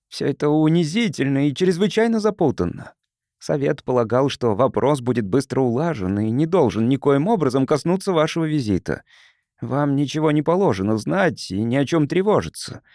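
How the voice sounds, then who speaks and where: A man's voice: mid-range voice, darkish tone, no room sound, clean studio sound, close to an everyday voice. A man reading the part of a reserved, troubled official in an audiobook, speaking formally and reluctantly. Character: reserved, evasive male planetoid councillor, troubled and formal.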